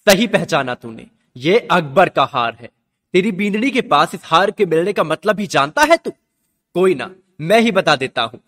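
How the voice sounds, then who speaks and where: A man's voice: high-pitched, sing-song, moderate pace. A man playing a stern, domineering royal father-in-law, accusing and angry, in a radio drama. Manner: forceful, accusatory. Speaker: actor in a student radio drama. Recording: long hall-like reverb.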